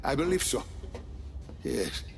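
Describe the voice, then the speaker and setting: An actor playing an aging male weapons scientist in a video game cutscene, speaking in a measured, precise, explanatory way. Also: medium pitch, big pitch swings, creaky, full character voice. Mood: calm.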